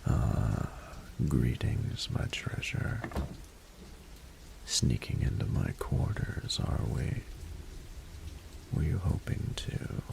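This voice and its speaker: Man acting as a rumbling, gravelly, seductive dragon lord. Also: noisy background, exaggerated voice. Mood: teasing, flirtatious. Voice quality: very deep, gravelly, breathy half-whisper. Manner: slow, formal, archaic, seductive phrasing. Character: courtly, flirtatious adult male dragon in human form. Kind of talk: scripted romantic audio roleplay.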